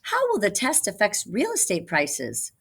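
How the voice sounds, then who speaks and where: A woman's voice: mid-range voice, wildly swooping pitch, a little slow, a bit bright, slightly rough, full character voice. A woman voicing anxious, pushy parents in a storybook, with earnest questioning. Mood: anxious, pompous. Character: anxious, pushy parents fretting at a school meeting.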